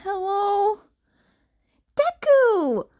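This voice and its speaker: A woman voicing an anxious, caring teenage girl in an audiobook-style story, tense and gently scolding. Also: very high-pitched, sing-song, extremely slow, pitched above the performer's own voice, exaggerated voice. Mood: relieved, alarmed.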